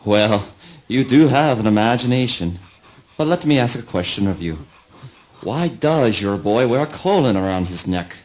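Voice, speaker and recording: An enigmatic male oracle, acted by a man, questions in a deliberate, fatherly way. The voice is on the low side and very animated in pitch. It is theatrical, cryptic spoken word drama. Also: lightly acted. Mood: probing.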